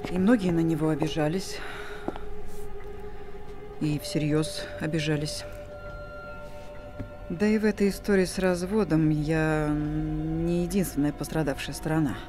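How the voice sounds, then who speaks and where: An actress playing a guarded, regretful middle-aged wife in a naturalistic screen drama. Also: female voice, deep voice, fairly even intonation, a little slow, long pauses, music in the background. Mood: brooding, regretful.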